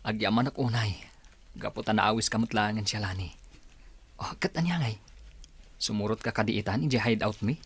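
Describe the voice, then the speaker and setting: A man's voice: lowish voice, normal speed, pauses often, breathy edge. A man playing a persuasive young gang member who coaxes a recruit in an Ilocano radio drama. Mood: coaxing.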